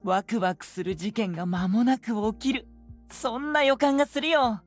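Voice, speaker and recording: A woman voicing an eager, bright young female game character in anime style, with music behind her. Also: on the high side, lively intonation, quick pace. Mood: excited anticipation.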